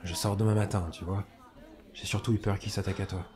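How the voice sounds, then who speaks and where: A man playing a gruff but caring, blunt and slangy policeman in an audio drama. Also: lowish voice, steady tone, brisk, a little mellow, close to an everyday voice. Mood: reassuring.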